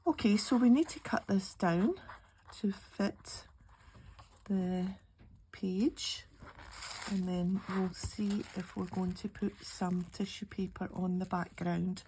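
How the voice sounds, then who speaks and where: A middle-aged Scottish woman, slightly croaky with a cold, chatting and thinking aloud as she narrates a casual craft tutorial. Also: medium pitch, slow, mellow, poor audio. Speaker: craft channel host. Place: indoor craft table. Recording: clearly audible background noise, handling clicks.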